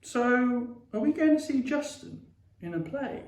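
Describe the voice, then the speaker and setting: A man voicing an upset young boy in an audiobook story, blunt, accusing and often shouting at his family. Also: very high-pitched, lively intonation, unhurried, echoey room, far from the mic, voice pushed up, exaggerated voice. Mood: sulky, probing.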